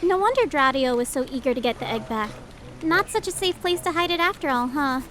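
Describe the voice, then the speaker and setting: A woman playing an excitable, earnest young rookie policewoman in an audio drama. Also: high-pitched, a little fast, a little hiss or hum. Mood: curious.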